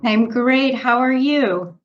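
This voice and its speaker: A woman's voice: on the high side, slow pace, faint music behind. Upbeat woman from Romania, slightly muffled. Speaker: guest, PR agency founder. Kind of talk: interview answers. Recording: slightly dull lo-fi audio.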